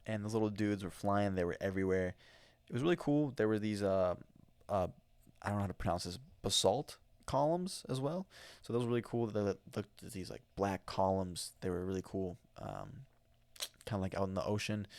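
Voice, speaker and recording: An American man talks into a microphone on his own podcast, rambling through a travel story with plenty of fillers and restarts. His voice sits on the low side. The sound is close and dry, with no room echo. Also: darkish tone. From United States. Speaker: podcast host. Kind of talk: casual solo podcast storytelling. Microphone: studio microphone.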